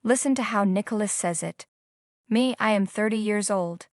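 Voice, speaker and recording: A woman's voice: medium pitch, no echo, clean studio sound. A woman teaching beginners, asking the viewer step by step to recall phrases. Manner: slow, prompting questions to the viewer. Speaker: language teacher. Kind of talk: scripted English instruction.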